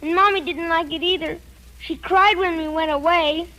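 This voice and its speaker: A woman's voice: very high voice, fairly even intonation, moderate pace, pushed and bright, low-quality recording. A female performer playing a frightened, stubborn little girl in an old-time radio drama, plaintive and defiant.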